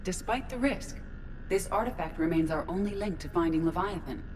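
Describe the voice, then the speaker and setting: An actress voicing a composed, analytical artificial intelligence crew member in a video game, with precise, measured and formal speech. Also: female voice, lowish voice, lively intonation, noisy background, close to an everyday voice. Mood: calm, analytical.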